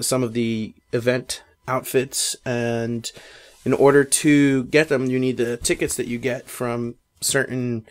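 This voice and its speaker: A man hosting a casual gaming podcast, rambling with many fillers while his voice is going hoarse. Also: mid-range voice. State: losing his voice. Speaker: podcast host. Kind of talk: casual chat.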